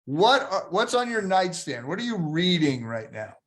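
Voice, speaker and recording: Older guy hosting an interview, kinda high voice, chatty, tells stories and piles up questions. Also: big pitch swings, normal speed, fairly bright tone. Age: 60s. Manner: chatty, anecdotal, asks layered follow-up questions. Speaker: interview host. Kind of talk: casual interview conversation.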